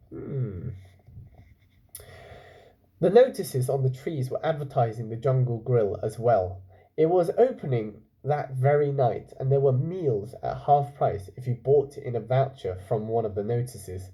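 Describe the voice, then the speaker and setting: A man reading a story aloud to children like a teacher, expressively, pausing to ask them questions. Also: medium pitch, poor audio. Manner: expressive, pauses to question listeners. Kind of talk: storytelling read-aloud with asides and questions to young listeners.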